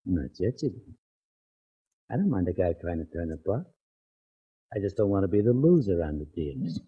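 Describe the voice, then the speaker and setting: An actor playing a tough, self-assured racketeer who talks in slangy, deliberate threats, in 1940s radio crime drama. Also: male voice, low voice, very animated, slightly rough, heavily acted. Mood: cool menace. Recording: muffled old 1940s radio recording.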